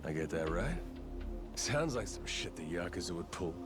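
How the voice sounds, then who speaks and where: Game role: wry amnesiac gangster drifter, folksy and rough-talking, animated swings in pitch. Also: male voice, moderate pace, over music. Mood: curious, wry. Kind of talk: English video game voice acting.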